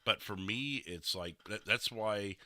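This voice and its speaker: A man's voice: fairly low pitch, unhurried, sharp tone, no room sound. A man hosting a casual gaming podcast, chatting about roleplay into a close microphone. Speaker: podcast host and streamer. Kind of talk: casual chat reviewing game roleplay.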